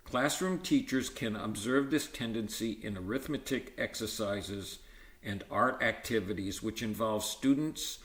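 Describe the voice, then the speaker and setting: A man reading an educational textbook aloud in a measured, explanatory way, sometimes stumbling and correcting himself with informal asides. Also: lowish voice, fairly bright tone. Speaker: volunteer-style book reader. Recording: roomy, echoey sound.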